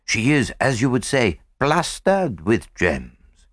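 A man's voice: fairly low pitch, very animated, moderate pace, husky, no echo, clean studio sound. A man voicing a fussy, courteous elderly Belgian detective with precise, mannered foreign phrasing, in an audiobook. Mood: genial. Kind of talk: audiobook character voice.

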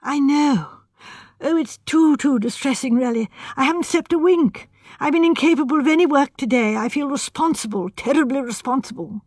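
Woman playing a jittery young architect, high and stammering, dry close sound. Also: moderate pace, darkish tone, dry recording. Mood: distressed. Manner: stammering, high-strung, pleading. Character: nervous, distraught young male architect.

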